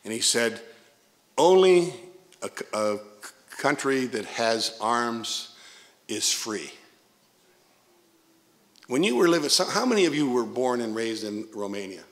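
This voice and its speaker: A man's voice: mid-range voice, big pitch swings, measured pace, long pauses, fairly bright tone. An older man with a Midwestern American accent, a pastor preaching a sermon in a church sanctuary on a pulpit microphone, telling stories with emphatic asides.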